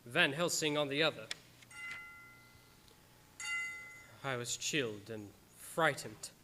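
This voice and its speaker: An actor playing an earnest, haunted doctor, narrating his grim diary in a formal, measured, theatrical way for a radio play. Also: a little high, pushed and bright, a little hiss or hum. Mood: tense dread.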